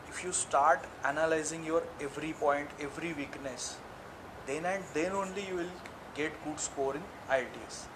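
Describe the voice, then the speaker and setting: A man's voice: fairly high pitch, noisy background. A man with an Indian accent, a native Marathi speaker, giving earnest, repetitive exam advice in a live seminar. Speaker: IELTS tutor. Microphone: live stream audio.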